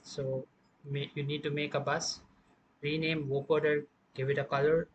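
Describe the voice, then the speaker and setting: A man narrating a casual step-by-step music production tutorial, speaking haltingly as he works. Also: on the high side, level tone, muffled recording, noisy background.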